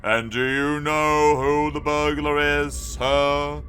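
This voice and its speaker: A man voicing a gruff, stern policeman in a children's storybook. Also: on the high side, little pitch movement, takes it slowly, sharp tone, slight background noise, voice pushed up.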